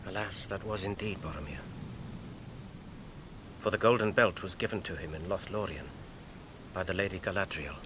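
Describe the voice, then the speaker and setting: An actor playing a weary, fearful but determined hobbit on a doomed quest, earnest and anguished in a fantasy radio drama. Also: male voice, low-pitched, normal speed, long pauses, background noise, lightly acted. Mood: dread, weary grief.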